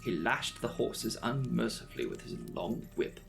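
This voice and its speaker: A man's voice: medium pitch, expressive, normal speed, gravelly, over music. A man narrating a classic novel as a dramatic, scripted audiobook reading.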